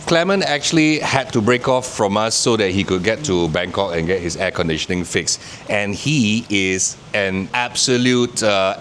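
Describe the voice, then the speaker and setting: A man's voice: fairly low pitch, a bit bright. A Singaporean man hosting a travel vlog, chatting upbeat to the camera at an outdoor night market. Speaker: travel vlogger host. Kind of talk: travel vlog narration and chat. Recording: noisy outdoor street recording.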